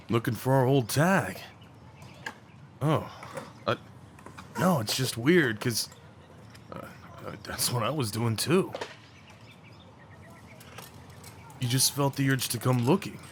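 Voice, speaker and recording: A man acting a young small-town guy, an awkward and tender old friend, in a casual, halting, intimate audio roleplay. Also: medium pitch, a little hiss or hum. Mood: amused, friendly. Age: young adult. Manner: halting, stammering, slangy, chuckling.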